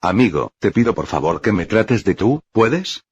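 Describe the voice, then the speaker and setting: A serene alien healer woman speaks in soft, measured, kindly words, voiced by a man reading aloud. The low voice carries a husky grain. The recording sounds dull and dry, like old lo-fi audio. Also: sing-song, heavily acted. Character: gentle female alien healer, calm and wise.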